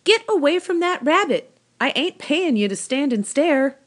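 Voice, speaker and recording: A woman reading a storybook, voicing a bossy, angry old woman farm boss who scolds loudly in folksy rustic speech. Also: high voice, very animated, normal speed, voice pushed up. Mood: sharp, scolding. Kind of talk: children's storybook read aloud.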